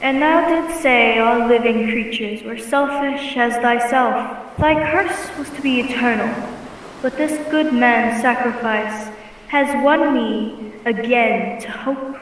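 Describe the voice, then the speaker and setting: A woman playing a stern otherworldly spirit pronouncing judgment slowly in radio melodrama, under heavy reverb. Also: fairly high pitch, far from the mic, slight background noise. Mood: solemn judgment. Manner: slow, stately, archaic pronouncements. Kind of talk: old-time radio melodrama acting.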